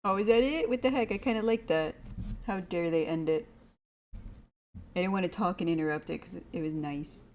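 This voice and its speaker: A woman's voice: fairly low pitch, fairly quick, long pauses, a little mellow, a little hiss or hum. Chatty woman streaming a visual novel. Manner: chatty, informal asides. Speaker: game streamer. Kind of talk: casual livestream commentary mixed with reading visual novel text aloud.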